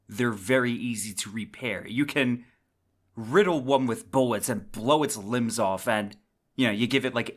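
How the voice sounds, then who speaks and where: A man's voice: mid-range voice, fairly bright tone. A man hosting a podcast, giving semi-scripted book commentary in a conversational, explanatory way with casual asides. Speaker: podcast host.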